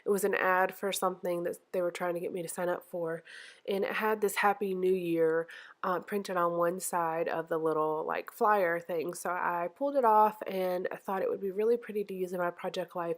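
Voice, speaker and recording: A woman's voice: fairly low pitch, steady tone, brisk. A woman with a stuffy, nasal voice, still getting over the flu, talks through her craft process. She rambles in a friendly, casual way, with lots of ums and little self-corrections. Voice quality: nasal, congested. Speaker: scrapbooking channel host.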